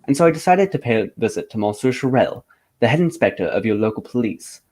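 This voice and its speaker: An actor playing a composed, courteous gentleman detective in a radio drama, speaking in a formal, measured way. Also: medium pitch, lively intonation, natural voice.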